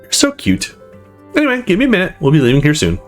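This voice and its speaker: Middle-aged hostess mom as a streamer puts her on, friendly and doting, very animated, light music behind. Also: mid-range voice, fast.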